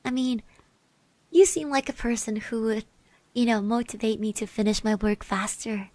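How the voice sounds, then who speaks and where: A woman playing a shy, friendly schoolgirl classmate in an ASMR roleplay, speaking softly, gently and hesitantly. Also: fairly high pitch, steady tone, moderate pace, no room sound, lightly acted. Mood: shy, hopeful. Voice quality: soft, breathy. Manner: hesitant, gentle, with little hums and trailing repeats. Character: shy, friendly high school girl classmate, admiring and easily flustered.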